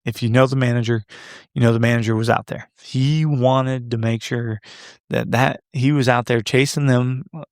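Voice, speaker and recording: A man's voice: mid-range voice, steady tone, normal speed, no room sound, studio quality. A man in his thirties hosting a solo podcast, chatting casually and joking back to live comments. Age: 30s. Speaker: podcast host.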